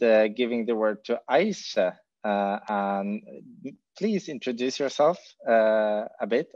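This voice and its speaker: A man's voice: lowish voice, a little mellow, no room sound. A man in his thirties moderating an online panel with a headset, friendly, with fillers. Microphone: headset on video call. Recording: dull lo-fi call audio.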